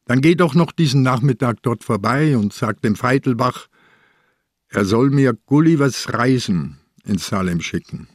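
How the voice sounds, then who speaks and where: An actor playing an old, ailing, shrewd police detective in a radio play, speaking in a deliberate, wry way. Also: male voice, mid-range voice, animated, dry recording, clean studio sound. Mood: quietly instructing. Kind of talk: radio drama acting.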